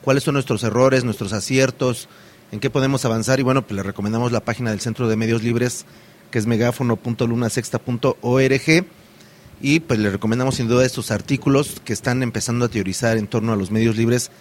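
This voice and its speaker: A man's voice: mid-range voice, fairly even intonation, brisk, a little hiss or hum. A man hosting a community radio program, talking in a loose, hesitant way with many fillers and restarts.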